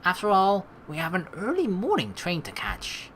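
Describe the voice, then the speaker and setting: A woman's voice: on the low side, wildly swooping pitch, normal speed, a bit bright, a little hiss or hum. A woman let's play streamer reading game text aloud and adding chatty commentary.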